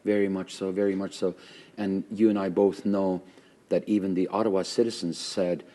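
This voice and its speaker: A man with a very low, loud voice, a pastor well into middle age. He talks with fervent conviction, leaning on his words and repeating them to drive a point home. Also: little pitch movement, moderate pace. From Canada. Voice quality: loud voice by nature. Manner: fervent, emphatic, repeats phrases for stress. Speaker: pastor hosting a conversation. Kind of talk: earnest conversational interview.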